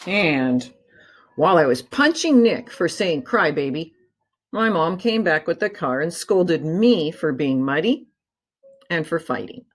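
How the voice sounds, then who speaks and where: A woman's voice: low voice, expressive, a little slow, husky. A woman reading a children's story aloud expressively, like a teacher, drawing out key words for dramatic emphasis.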